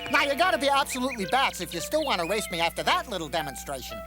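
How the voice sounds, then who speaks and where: A cocky cartoon hare, with a fairly high voice that swings up and down in smug, mocking taunts. Every phrase is a boastful tease, and music plays clearly underneath. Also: female voice, big pitch swings, very fast. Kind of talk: animated cartoon voice acting. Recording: music behind the voice.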